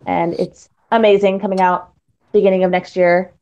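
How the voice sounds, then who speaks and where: A woman's voice: on the low side, little pitch movement, normal speed, warm tone. A woman voice actress talking casually about her work in a podcast panel chat. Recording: slightly dull lo-fi call audio.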